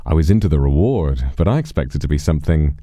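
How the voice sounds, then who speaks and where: Very deep-voiced man telling a dramatic story. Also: expressive, no echo. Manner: dramatic, deliberate delivery with hushed, drawn-out phrases. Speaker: streamer telling a story in first person as his character. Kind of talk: theatrical first-person storytelling.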